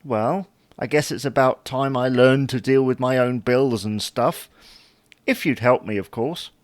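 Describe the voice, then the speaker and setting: An actor playing an exasperated, put-upon husband in a comedy audio drama, bickering with indignant sarcasm. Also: male voice, mid-range voice, measured pace, no room sound, lightly acted. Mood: conciliatory.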